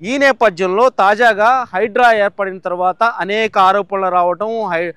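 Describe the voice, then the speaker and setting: A man's voice: high voice, fairly even intonation, measured pace, a bit bright, smooth, no echo. A man reporting the news from a site, explaining step by step with fillers and restarts.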